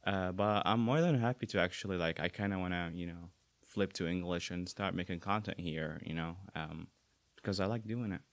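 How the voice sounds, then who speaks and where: A young man with an Arabic accent talks easily in a very low voice, the sound dry and close. He circles back and restarts often, filling pauses with small words as he goes. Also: no echo. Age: young adult. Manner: relaxed, many fillers and restarts. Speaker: guest. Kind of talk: casual interview talk.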